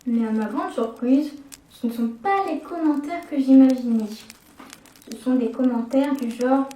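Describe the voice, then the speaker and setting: A young woman narrates a first-person horror tale in a mellow, slightly high voice. She slows down to build suspense. The recording sounds roomy, with her a little away from the mic. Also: slight background noise. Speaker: storyteller. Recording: echoey room, distant from the mic.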